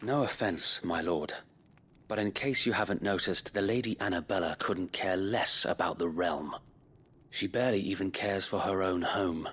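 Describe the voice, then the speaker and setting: Low-voiced, weary knight, loyal and formal. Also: male voice, fairly bright tone, slightly rough, heavily acted. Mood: grim, frank. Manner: formal, archaic speech. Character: loyal middle-aged knight, resistance leader, weary but respectful. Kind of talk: dramatic video game cutscene acting.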